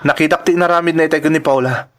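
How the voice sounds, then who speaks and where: A man's voice: a little high, fairly even intonation, very fast, a bit bright, close to an everyday voice. A man playing a young male worker who speaks out earnestly and indignantly against unfair treatment. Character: young male migrant worker standing up for coworkers. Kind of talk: audio drama acting.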